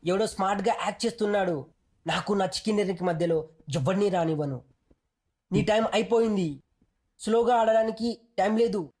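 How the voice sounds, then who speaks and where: A woman's voice: medium pitch, a little fast, dark tone. A woman with a Telugu accent delivering short punchy game voice-pack callouts in a slangy, bossy, teasing way.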